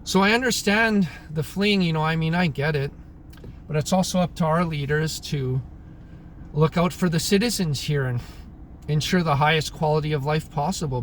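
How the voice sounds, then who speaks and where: A man in his thirties, originally from Croatia, talking through his opinions in a casual monologue, pausing often and clearing his throat. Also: a little high, slight background noise. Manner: halting, frequent throat clearing and restarts. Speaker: vlogger. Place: in Alberta, Canada.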